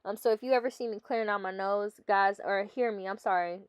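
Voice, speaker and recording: A woman's voice: mid-range voice, steady tone, fairly quick, clean tone, no room sound, clean studio sound. Chatty stuffy-nosed young woman. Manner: chatty, laughing, talks to viewers as "guys". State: stuffy nose. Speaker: fitness vlogger. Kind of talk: casual voice-over chat.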